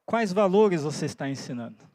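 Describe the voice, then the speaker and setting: A pastor in his forties, born in Japan, preaches earnestly with a fairly high voice. He thinks aloud and checks in with his listeners. The sound is close and dry. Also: lively intonation, moderate pace, dark tone, no echo. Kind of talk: sermon. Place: church.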